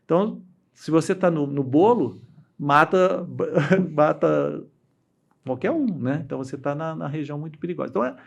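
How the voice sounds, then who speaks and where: A middle-aged man from Brazil with a Brazilian Portuguese accent, telling stories as an interview guest in a halting, restart-heavy way, voicing the people he quotes. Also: fairly high pitch, animated, gravelly, highs cut off. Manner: halting, with many restarts and fillers; acts out quoted voices while telling stories. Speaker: interview guest, dam engineer. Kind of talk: casual storytelling interview.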